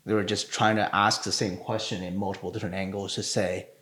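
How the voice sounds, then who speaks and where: A man co-hosting a podcast in casual chat, talking haltingly with fillers and restarted phrases. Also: low-pitched, level tone, fairly bright tone, echoey room. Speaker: podcast co-host.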